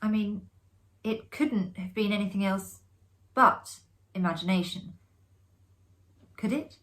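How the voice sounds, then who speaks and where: A woman voicing a shaken, sheepish young man in a ghost story, halting and repeating words. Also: medium pitch, a bit bright. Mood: shaky, unconvinced. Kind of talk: performed reading of a ghost story.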